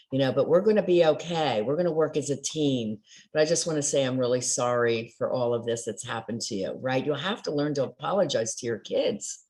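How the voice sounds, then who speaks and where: A woman's voice: extremely low pitch, darkish tone. An older woman speaking as a guest coach in a casual podcast interview, telling stories and acting out quoted dialogue with animation. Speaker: trauma recovery coach guest.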